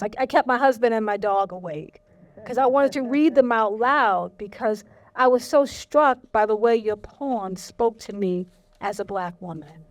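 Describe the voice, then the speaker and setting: A woman's voice: medium pitch, dry recording. A woman interviewing a poet on stage, enthusiastic and personal as she asks her questions. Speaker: interviewer, host. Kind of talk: onstage interview.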